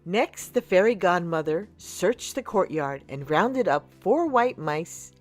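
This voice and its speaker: Animated, low-voiced woman reading a children's story. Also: slow pace, soft background music. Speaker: storyteller, channel host. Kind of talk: expressive read-aloud of a children's picture book.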